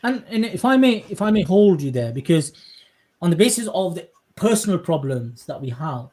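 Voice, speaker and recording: Male podcast host, slightly high voice, polite, halting, repeats himself while finding the question. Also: big pitch swings, a little mellow. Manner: courteous, hesitant, repeats words while searching for phrasing. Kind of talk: conversational interview.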